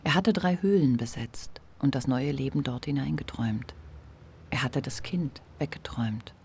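An actor playing a male literary narrator in a radio drama, reading scripted prose in a measured, contemplative way. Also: medium pitch, darkish tone, a little hiss or hum, close to an everyday voice. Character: reflective literary narrator of a novel. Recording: music bed behind narration.

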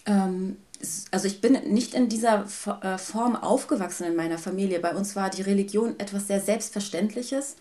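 A woman from Germany, a guest in an interview, talking about her life in a thoughtful, hesitant way with many pauses. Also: mid-range voice, mellow. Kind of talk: personal conversation.

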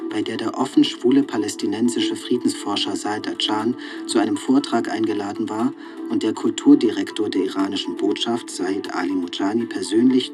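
A man narrating an audio play in a scripted, news-like documentary style. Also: lowish voice.

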